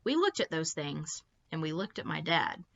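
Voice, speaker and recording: A woman reading a children's story aloud with expressive, emphatic delivery and short asides to the listeners. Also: low-pitched, moderate pace, lo-fi sound. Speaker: librarian reading stories to children.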